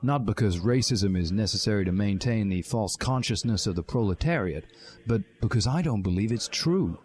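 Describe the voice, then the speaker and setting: A man's voice: fairly low pitch, expressive, moderate pace, darkish tone, lightly acted. A man voicing an evil, smug corporate professor in a comic story reading. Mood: smug, sinister. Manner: smug, villainous. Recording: music behind the voice.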